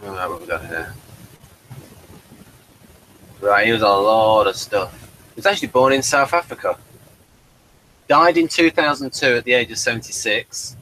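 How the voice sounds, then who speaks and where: A man's voice: fairly low pitch, normal speed, pauses often, sharp tone, a little hiss or hum, rough recording. A man hosting an informal review, muddling along and thinking aloud as he presents. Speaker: host presenting an episode review. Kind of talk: casual chat, informal presenting.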